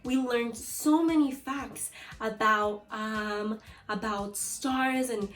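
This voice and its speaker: A woman's voice: on the high side, takes it slowly. A woman teaching young children through a read-aloud lesson, reading from a book and prompting pupils with questions and short instructions. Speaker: second-grade teacher.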